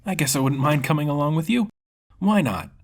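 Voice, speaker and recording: Casting: man to play trusting, dopey young male adventurer for a fantasy tale, easygoing, lightly husky, pitched up. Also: animated, fairly quick. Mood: carefree. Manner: hesitant, easygoing. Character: cheerful, dim, trusting young male sword-loving wanderer.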